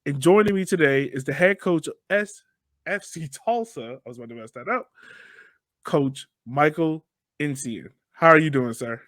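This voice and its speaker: A man's voice: on the high side, moderate pace, fairly bright tone, dry recording. An American man hosting a podcast in a casual interview style. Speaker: podcast host.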